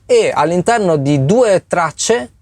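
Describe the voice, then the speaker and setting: A man's voice: high voice, lively intonation, slow pace, darkish tone, dry recording. A man presenting a tutorial in a casual, explanatory way, speaking directly to the viewer. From Italy. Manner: conversational, addresses viewer directly. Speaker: music production tutorial host.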